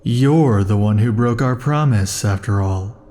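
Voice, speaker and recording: A man voicing an obsessive, possessive male immortal in an ASMR roleplay, talking close to the mic in a slow, intimate, brooding way. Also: on the low side, dark tone, natural voice. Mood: mocking. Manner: slow, intimate, brooding delivery with sudden furious outbursts.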